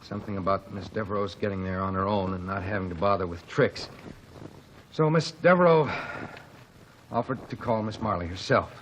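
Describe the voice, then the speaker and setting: A man voicing a plain-spoken working-class stagehand giving testimony in a vintage radio drama. He speaks in a somewhat low voice, folksy and unpolished. A faint hiss sits under the recording. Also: normal speed, fairly bright tone, highs cut off, close to an everyday voice. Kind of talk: 1940s radio drama acting.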